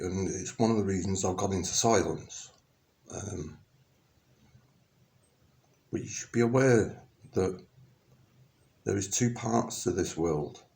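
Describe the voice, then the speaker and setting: A middle-aged man with a lowish voice talks to camera, rambling, stopping and restarting with plenty of ums. Now and then he snaps into forceful, emphatic outbursts before trailing off again. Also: creaky. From England. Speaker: vlogger. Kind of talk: rambling personal monologue.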